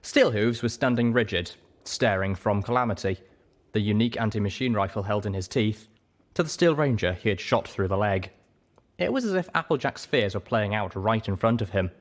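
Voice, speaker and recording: A man's voice: fairly low pitch, expressive, normal speed. A man reading scripted first-person fiction as a storyteller. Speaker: story reader.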